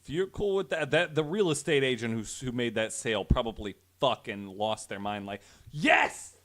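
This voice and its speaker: A man's voice: mid-range voice, expressive, normal speed, fairly bright tone. A man bantering casually as a podcast panelist, wisecracking and swearing as he riffs. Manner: wisecracking, profane, riffs in mock voices.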